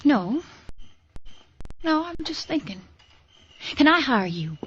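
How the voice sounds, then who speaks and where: A woman's voice: high-pitched, very animated, takes it slowly, exaggerated voice. An actress playing a spirited, scheming young frontier woman in an old radio western, coy and impulsive. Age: young adult. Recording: dull vintage radio recording.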